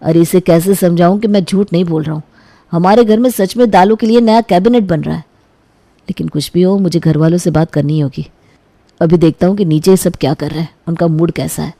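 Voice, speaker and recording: A woman's voice: on the low side, brisk, dark tone, no echo, pitched below the performer's own voice. A woman voicing an earnest young man eager to marry, coaxing and reassuring in a storybook tale. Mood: pleading, worried. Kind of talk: animated storybook dialogue.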